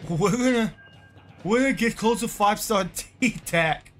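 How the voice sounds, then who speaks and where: A man's voice: sits high, unhurried, fairly bright tone. A man in his twenties chatting to his viewers while playing a game, rambling and repeating himself, with short bursts of frustration. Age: 20s. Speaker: streamer. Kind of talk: casual game commentary to chat. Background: game music.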